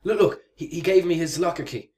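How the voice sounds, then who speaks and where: An actor playing a frightened young worker with a halting stammer in a radio play. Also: male voice, a little high, a little mellow, close to an everyday voice. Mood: nervous, defensive.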